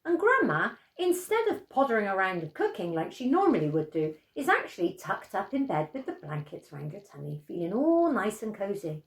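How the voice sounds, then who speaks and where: An older woman telling a bedtime story in an animated storyteller's style. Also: mid-range voice, moderate pace, hardly any pauses, echoey room.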